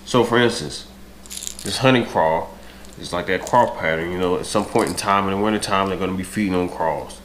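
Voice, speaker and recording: Deep, stuffy-nosed American man. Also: normal speed, noisy background. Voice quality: stuffy nasal voice. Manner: frequent throat clearing. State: congested, stuffy from a cold. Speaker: fishing vlogger. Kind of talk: casual talk to camera. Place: home dining room with large windows.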